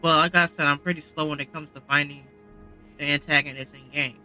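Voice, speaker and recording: A man giving casual gaming commentary in a halting, musing way. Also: on the high side, fairly even intonation, bright, forceful tone, soft background music. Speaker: gaming streamer.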